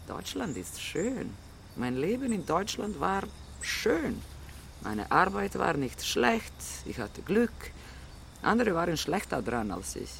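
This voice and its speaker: A dreamy homeless man in a radio drama, kinda croaky, hunts for words, wistful. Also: high voice, huge pitch swings, a bit bright, slight background noise, heavily acted. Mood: nostalgic. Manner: halting, gropes for words, dreamy. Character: homeless deported man in Rome, wistful, nostalgic for Germany.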